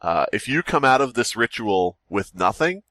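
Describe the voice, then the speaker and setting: Animated wisecracking man, dry close sound. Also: mid-range voice, moderate pace, no room sound. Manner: wisecracking, riffing. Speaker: podcast host steering the group. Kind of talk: casual joking banter and reactions.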